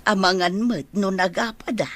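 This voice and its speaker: A woman's voice: medium pitch, fairly even intonation, normal speed, fairly bright tone, natural voice. A woman playing a caring, homely older mother in a radio drama. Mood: fussing, concerned. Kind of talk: Ilocano radio drama acting.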